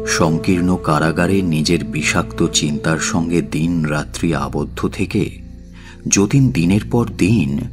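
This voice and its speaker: A man narrating a literary audio story in a measured, solemn and dramatic way. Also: low voice. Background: faint music.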